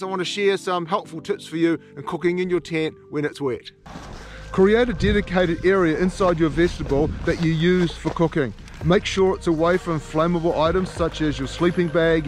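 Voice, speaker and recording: A man, giving encouraging camping tips to camera outdoors by his tent. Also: sits high. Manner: upbeat, encouraging, direct to camera. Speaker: outdoor channel host. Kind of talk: instructional outdoor vlog. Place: outdoors on a mountain meadow by a tent. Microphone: camera at arm's length.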